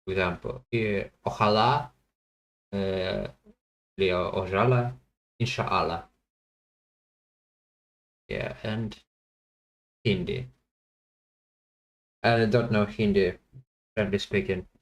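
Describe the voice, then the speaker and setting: Male streamer, slightly low voice; halting, self-correcting live commentary with asides to chat. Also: fairly even intonation, low-quality recording. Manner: hesitant, halting, with many fillers and self-corrections; chats to viewers between guesses. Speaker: streamer playing a language-guessing game.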